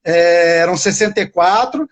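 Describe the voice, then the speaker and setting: High-voiced Brazilian man interviewing. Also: normal speed. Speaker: interviewer, live stream host. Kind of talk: casual interview chat.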